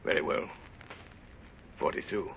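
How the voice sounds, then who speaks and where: A man playing a kindly stranger with a halting, questioning manner in a 1930s radio drama. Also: lightly acted. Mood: quietly firm. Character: kindly, gentle male stranger seeking lodging. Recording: muffled, hissy 1930s radio recording.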